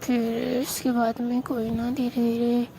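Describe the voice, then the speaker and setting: A young woman on a phone call, confiding and recounting a story in a hushed way. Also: on the high side, monotone, unhurried. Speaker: caller. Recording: phone call recording with hiss.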